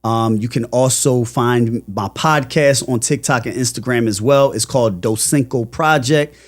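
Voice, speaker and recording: A man, talking casually as a podcast guest in an animated, emphatic, slangy way. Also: mid-range voice, unhurried. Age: 40s. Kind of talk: casual conversational interview.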